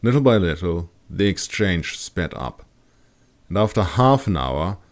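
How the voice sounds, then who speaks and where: A man's voice: low voice, sing-song, unhurried. A man reading his own fantasy audiobook as a scripted narration.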